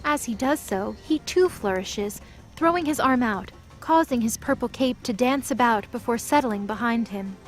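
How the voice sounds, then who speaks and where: A woman's voice: fairly high pitch, normal speed, faint music behind. A woman reading scripted present-tense narration for an audio drama.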